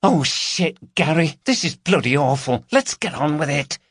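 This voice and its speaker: A man's voice: fairly high pitch, very animated, a little slow, fairly bright tone, slightly rough, no room sound, studio quality, pitched above the performer's own voice, full character voice. A man voicing an anxious, foul-mouthed woman burglar in an audiobook, urgent and complaining. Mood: nervous urgency.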